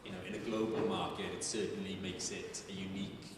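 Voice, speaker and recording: A man's voice: deep voice, little pitch movement, quick pace, recorded from a distance, noisy background. Man in an echoey hall. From Wales. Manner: thoughtful, searching for words. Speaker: filmmaker answering audience questions. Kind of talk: live Q&A answers.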